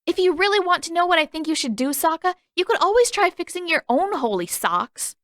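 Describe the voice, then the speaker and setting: A woman's voice: very high voice, big pitch swings, a little fast, a bit bright, no room sound, clean studio sound, pitched above the performer's own voice, full character voice. A woman voicing a stubborn, exasperated teenage girl with an indignant, emphatic delivery in a story reading.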